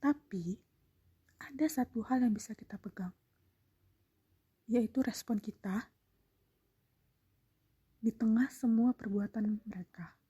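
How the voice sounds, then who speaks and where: A young woman, confiding gently in a breathy, half-whispered, intimate reflective monologue as a solo podcast host. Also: fairly high pitch, takes it slowly, long pauses.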